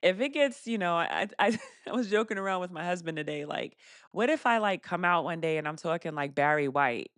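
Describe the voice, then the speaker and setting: A woman with a fairly deep voice hosting a casual live stream Q&A. She laughs often and reads viewer comments aloud. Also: animated, fairly quick, fairly bright tone, dry recording, studio quality. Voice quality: deeper voice for a woman.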